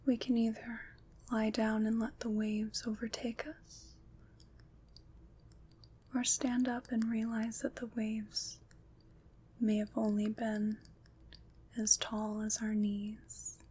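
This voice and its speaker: Woman playing a slow, whispering, soothing witch. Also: a little high, completely flat delivery, heavily acted. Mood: gently encouraging. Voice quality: breathy, unvoiced whisper. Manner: slow, gentle, reassuring, pausing between phrases. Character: kind, soothing witch comforting someone troubled by nightmares. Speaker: ASMR performer. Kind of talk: whispered ASMR roleplay. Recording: clear background noise.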